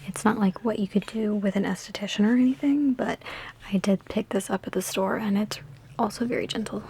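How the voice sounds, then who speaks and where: A woman in an ASMR roleplay speaking in a soft, breathy whisper mixed with hushed talk, with a sassy, teasing, chatty big-sister manner, close to the microphone. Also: mid-range voice, a little fast, a little hiss or hum. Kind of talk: ASMR personal attention roleplay.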